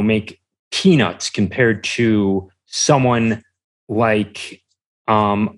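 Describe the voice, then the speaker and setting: A young man answering as an interview guest, talking casually in a hesitant, halting way. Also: lowish voice.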